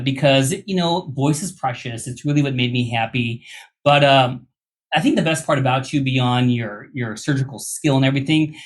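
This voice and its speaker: A man hosting a podcast in casual, informal interview talk, recovering from vocal surgery. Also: mid-range voice. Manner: informal, chatty, joking. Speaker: podcast host.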